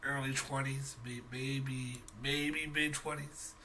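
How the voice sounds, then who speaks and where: A man runs a tabletop role-playing game as its game master. He improvises haltingly, circling back over phrases before moving on. There is faint noise behind his voice. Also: mid-range voice, flat delivery.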